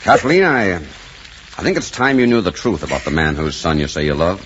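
An actor playing a stern, possessive older uncle with an emphatic, formal, commanding way of speaking in an old melodrama. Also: male voice, low voice, lively intonation, moderate pace, a bit bright. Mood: grave, pleading. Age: middle-aged. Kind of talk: old melodramatic film acting. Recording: muffled, noisy old film soundtrack.

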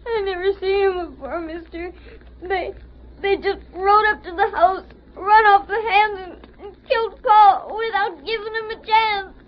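A performer playing a frightened young American ranch boy in an old-time radio western, earnest and near crying, with halting, stammered rustic phrasing. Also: very high-pitched. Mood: tearful, halting. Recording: muffled vintage radio recording.

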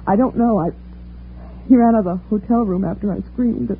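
A woman's voice: mid-range voice, normal speed, slight background noise, lightly acted. A woman playing a frightened, anguished wife in old-time radio drama, with a melodramatic, hesitant delivery. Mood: shaken. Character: frightened, anguished wife fleeing her murderous husband. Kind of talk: 1940s radio acting, dramatic narration and dialogue. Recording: tinny old radio recording with music.

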